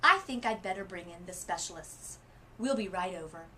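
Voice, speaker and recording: A woman voicing a bustling male family doctor in a children's storybook, puzzled but brisk, with deliberate delivery. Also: lowish voice, lively intonation, pushed and bright, slight background noise. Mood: musing.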